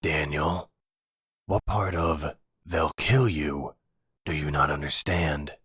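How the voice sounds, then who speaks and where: A man acts out a middle-aged mafia father in exile for an audio roleplay. His voice is extremely low and very raspy, and he speaks slowly, brooding and resigned, with bitterness under the weariness. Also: fairly bright tone, no room sound, studio quality, full character voice. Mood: grudging. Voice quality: very deep, gravelly, husky. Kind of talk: ASMR audio roleplay drama.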